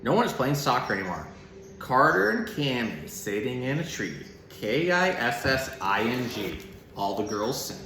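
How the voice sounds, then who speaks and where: A man's voice: medium pitch, sing-song, moderate pace. A man giving simple, child-friendly explanations and storybook reading in the informal style of an animal educator. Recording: roomy echo, some background noise.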